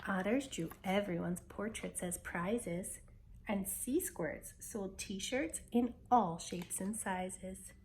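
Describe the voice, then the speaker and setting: A woman reading a children's picture book aloud with expressive, dramatic storytelling delivery. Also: mid-range voice, slow pace, slight background noise.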